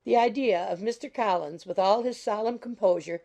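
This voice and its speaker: A woman's voice: mid-range voice, moderate pace, mellow. A woman reading scripted literary narration as an audiobook narrator. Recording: faint music behind the voice.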